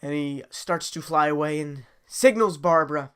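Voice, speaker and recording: A man improvising a dramatic adventure story as a theatrical storyteller, swinging from hushed to loud. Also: fairly high pitch, normal speed, clean tone.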